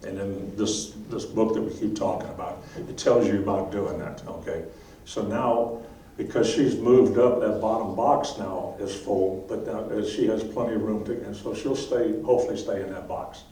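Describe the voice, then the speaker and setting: A man's voice: on the low side, steady tone, moderate pace, warm tone. A man teaching a beekeeping class, answering questions in a plain, practical way and often checking in with "okay?". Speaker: beekeeping instructor. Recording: distant mic in echoey room with faint noise.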